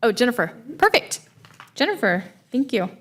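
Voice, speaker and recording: A woman chairing a board meeting, brisk and effusive in her thanks. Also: on the high side, big pitch swings, a bit bright.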